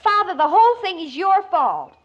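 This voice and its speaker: An actress playing an indignant, melodramatic teenage daughter in a 1950s radio sitcom. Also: female voice, very high voice, big pitch swings, moderate pace, fairly bright tone, highs cut off, heavily acted.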